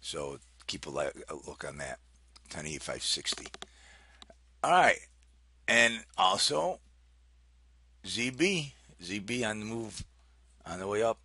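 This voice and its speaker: A man giving informal, unscripted market commentary as an analyst, talking haltingly as he thinks aloud and reads out numbers. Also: on the low side, sing-song, bright, forceful tone, husky. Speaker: market analyst hosting a trading briefing.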